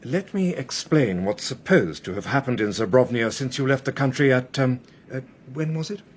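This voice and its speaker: Radio drama: government investigator, slightly rough voice, expressive pitch, methodical, polite, in command. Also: male voice, normal speed. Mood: methodical explaining. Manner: methodical, courteous, authoritative. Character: measured, probing male British government official.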